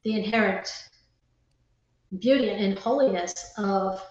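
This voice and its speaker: A woman guest in a casual conversation, explaining her thoughts haltingly, with pauses between phrases. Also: medium pitch, darkish tone, dull audio. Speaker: interview guest, writer. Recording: very echoey, roomy sound.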